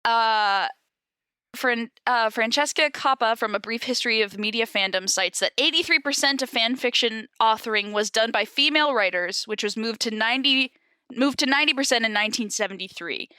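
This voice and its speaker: A woman with a fairly high, very bright voice talks like a podcast co-host going through notes. She is informal and giggly, wandering into asides, and the sound is dry and close. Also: moderate pace, clean tone, dry recording. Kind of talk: casual informal explainer chat, reading from notes.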